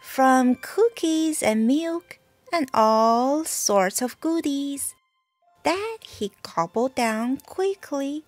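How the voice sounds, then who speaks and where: Slow, high-voiced woman reading a bedtime story. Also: soft background music. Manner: slow, deliberate, expressive phrasing. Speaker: mother reading a picture book aloud. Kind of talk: bedtime story read-aloud in rhyme.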